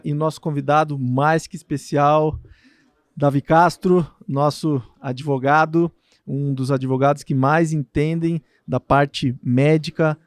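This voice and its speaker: A man's voice: a little high, dry recording, clean studio sound. A man from Brazil hosting a podcast, introducing his guest formally and reacting with short, eager remarks. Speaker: podcast host.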